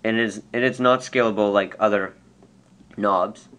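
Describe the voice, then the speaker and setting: A man giving an unscripted, casual software tutorial, explaining step by step and correcting himself as he goes. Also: fairly low pitch, steady tone, moderate pace. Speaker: tutorial presenter. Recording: clear background noise.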